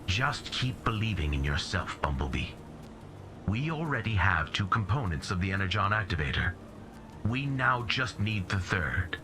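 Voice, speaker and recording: A man's voice: fairly low pitch, sing-song, over music. An actor playing a noble, fatherly robot commander in a video game, solemn and encouraging. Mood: noble encouragement. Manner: solemn, measured phrasing, encouraging. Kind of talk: video game cutscene acting.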